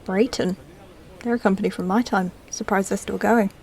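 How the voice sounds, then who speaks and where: A woman's voice: medium pitch, steady tone, normal speed, creaky, a little mellow, lightly acted. A woman playing a curious, informal lieutenant in a science-fiction audio drama, talking casually as if to herself. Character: curious, informal female lieutenant adrift in the future.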